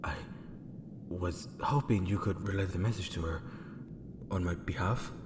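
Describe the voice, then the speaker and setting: A man playing a reserved, courteous lord in an audio drama, speaking formally and haltingly. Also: low voice, background noise, natural voice. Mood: uneasy, guarded. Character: reserved, courteous male lord, awkward and hesitant in love. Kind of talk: fan audio drama acting.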